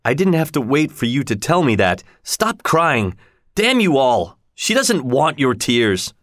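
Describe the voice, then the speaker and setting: A man's voice: mid-range voice, lively intonation, measured pace, dry recording, studio quality, close to an everyday voice. A man voicing a tormented, passionate male lover from a storybook, brooding and vengeful, with an intense, anguished delivery. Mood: bitter grief.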